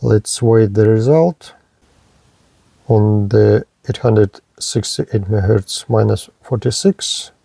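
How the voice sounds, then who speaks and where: A man narrates a technical product test in short, clipped phrases. His voice is gravelly and fairly dark, recorded dry and close. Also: lowish voice, slow pace, dry recording. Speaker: product reviewer. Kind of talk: hands-on radio test narration.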